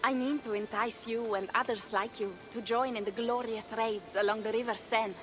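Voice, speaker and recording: A woman's voice: a little high, fairly even intonation, moderate pace, a bit bright, faint music behind, lightly acted. A woman playing a bold, persuasive Norse warrior in a dramatic video game, speaking in formal, old-fashioned phrases. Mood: proud, eager. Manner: declarative, archaic formal phrasing.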